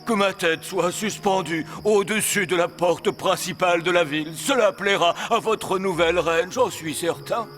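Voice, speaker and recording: Solemn high-voiced talking horse. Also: male voice, normal speed, few pauses, a bit bright, soft background music. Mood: resigned. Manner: formal, polite. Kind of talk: French animated fairy-tale dubbing.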